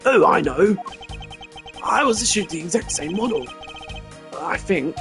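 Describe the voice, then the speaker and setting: A man's voice: high voice, lively intonation, unhurried, a bit bright, soft background music, voice pushed up, heavily acted. A man voicing an eager, bumbling police detective who is folksy, enthusiastic and deferential, in a video game. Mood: earnest, sheepish. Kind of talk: video game character voice-over.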